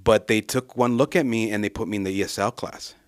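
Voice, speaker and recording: A man from Southern California speaks in a low, fairly deep voice, dry and close with almost no room sound. He reflects slowly on his own story as a guest in a casual chat, pausing and searching for his words. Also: steady tone, clear, resonant tone, no echo. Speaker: guest, ministry association director. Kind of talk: casual conversation, personal story.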